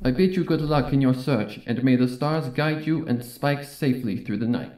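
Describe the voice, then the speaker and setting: A man's voice: medium pitch, normal speed, darkish tone, close to an everyday voice, rough recording. A man voicing a solemn, regal mare princess in formal, stately phrasing, in a dramatic story reading. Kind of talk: dramatic fan fiction reading.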